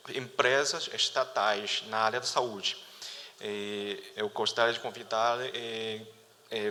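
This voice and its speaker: A Chinese-accented man addresses a conference, his voice bright and forward. He speaks haltingly, restarting sentences often. The sound carries a long reverb from a large hall. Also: mid-range voice. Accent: Chinese, with a picked-up Rio de Janeiro lilt. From China. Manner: hesitant, many restarts and fillers. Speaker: company executive, panel speaker. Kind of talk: conference talk.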